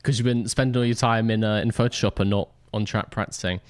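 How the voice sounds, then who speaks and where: A man's voice: on the low side, fairly even intonation, fast, clear, resonant tone, no room sound. Man hosting a casual livestream interview, dry sound. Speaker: host interviewing racers on a livestream. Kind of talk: casual post-race interview.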